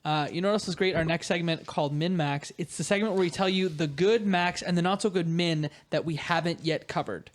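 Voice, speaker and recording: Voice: medium pitch, fairly quick, hardly any pauses. Casual podcast host steering a panel. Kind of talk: casual hosting and reading out reviews.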